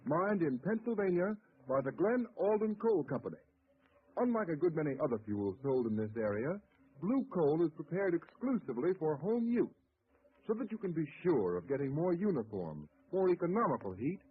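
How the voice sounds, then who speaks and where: A man reading a scripted radio commercial as the announcer, in a brisk, polished sales delivery. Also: fairly high pitch. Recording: tinny old radio transcription with faint hiss.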